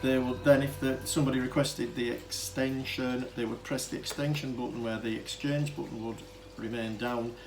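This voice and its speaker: A man talks through an informal hands-on demonstration in a mid-pitched voice. He is hesitant and rambling, often breaking off to correct himself. The amateur camera recording has clear background noise. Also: flat delivery, moderate pace, hardly any pauses. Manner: hesitant, rambling, self-interrupting explanation. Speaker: hobbyist demonstrator of vintage telephone equipment.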